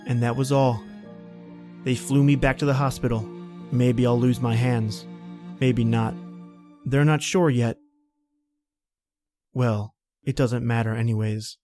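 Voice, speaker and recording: A man's voice: mid-range voice, steady tone, measured pace, pauses often, darkish tone, faint music behind, close to an everyday voice. A man playing a haunted, panicky man stranded in polar cold, telling his ordeal in an old-time radio horror style. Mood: numb, grieving. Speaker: podcast host and actor.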